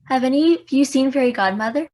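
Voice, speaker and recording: A woman's voice: high voice, fast, a little mellow, close to an everyday voice. A young woman playing a prim, lovestruck fairytale princess in a comic stage play. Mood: earnest, romantic. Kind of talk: comic stage acting over video call.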